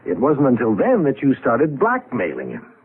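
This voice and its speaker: An actor playing a disillusioned, sardonic older business partner in 1970s radio drama, scornful and emphatic. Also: male voice, medium pitch, huge pitch swings, normal speed, vocal fry, slightly rough, full character voice. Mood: accusing. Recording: muffled vintage radio broadcast.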